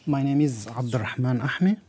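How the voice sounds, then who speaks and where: A man's voice: mid-range voice, animated, normal speed, mellow, husky. A man in his thirties from Syria, a refugee teacher, speaking hesitantly and searching for words in an interview. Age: 30s.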